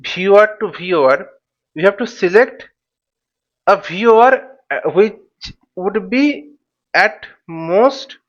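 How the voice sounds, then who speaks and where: A man giving an informal tutorial in a halting, step-by-step way, repeating phrases and checking with short questions. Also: high-pitched, a bit bright, lo-fi sound. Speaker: flight simulation instructor and presenter.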